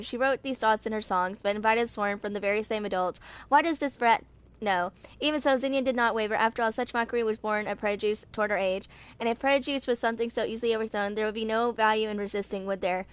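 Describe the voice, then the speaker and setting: A woman in her mid twenties with a fairly high voice reads lore aloud as an amateur narrator. Her delivery is halting, and she trips on words and goes back over them. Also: smooth. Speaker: video creator reading game character lore.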